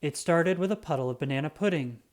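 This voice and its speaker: A storybook narrator reading aloud for children in an expressive, dramatic way with drawn-out emphasis. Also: mid-range voice.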